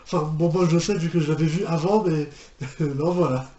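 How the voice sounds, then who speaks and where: Higher-voiced Frenchman rambling in an echoey room. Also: steady tone, normal speed, mellow, muffled recording. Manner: hesitant, rambling, repeats phrases. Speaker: reaction video host. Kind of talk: casual spoken reaction commentary. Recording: echoey room with background noise.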